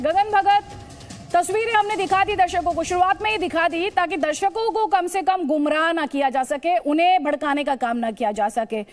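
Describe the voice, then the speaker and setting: A woman anchoring a television news debate, speaking emphatically, repeating points and posing pointed rhetorical questions. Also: sits high.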